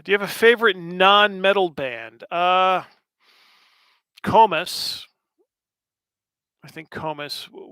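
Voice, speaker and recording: American dude rambling on a stream, kinda high voice, gravelly, lots of ums. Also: male voice, pushed and bright, dry recording. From United States. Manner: rambling, many fillers and restarts, wry. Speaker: livestream host answering chat. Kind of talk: casual chat.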